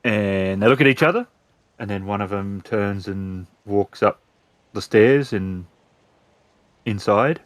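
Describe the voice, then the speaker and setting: A man acting as game master, narrating a tabletop roleplaying game in measured, piecemeal phrases. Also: lowish voice, dry recording.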